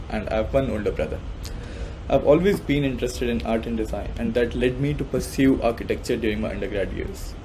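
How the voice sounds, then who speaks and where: A man's voice: mid-range voice, dark tone, a little hiss or hum, low-quality recording. A man from India with an Indian accent, whose first language is Hindi, giving a friendly recorded self-introduction.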